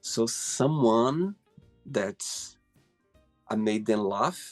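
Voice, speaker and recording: A man's voice: medium pitch, lively intonation, extremely slow, faint music behind. A man with a Brazilian accent hosting a casual interview, effusive and full of "you know" fillers. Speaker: host.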